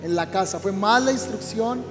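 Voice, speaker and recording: A man's voice: high voice, moderate pace, in a large hall, over music. A man, a pastor, interviewing a guest in a church program with an encouraging pastoral manner.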